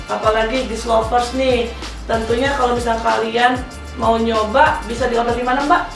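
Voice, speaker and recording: A woman's voice: a little high, fairly even intonation, moderate pace, gravelly. A young woman hosting a casual podcast interview, chatty, slipping English phrases into her talk. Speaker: podcast host. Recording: echoey room, mic at a distance, music behind.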